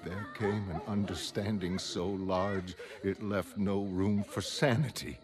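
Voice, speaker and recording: An actor voicing a doomed mariner who narrates a gothic horror tale in a slow, solemn, haunted way. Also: male voice, low voice, fairly even intonation. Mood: anguished, grim. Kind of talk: grim gothic storybook narration in a motion comic. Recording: studio voice over prominent music.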